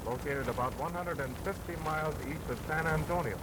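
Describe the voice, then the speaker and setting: Formal 1930s travelogue narrator, heavy hiss. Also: male voice, fairly high pitch, fairly quick. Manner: formal, declamatory. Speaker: newsreel narrator. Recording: loud hiss of an old 1930s film soundtrack.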